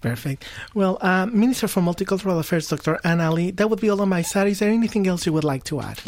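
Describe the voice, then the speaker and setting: A radio interviewer asking questions in a quick, polite way. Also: medium pitch, darkish tone.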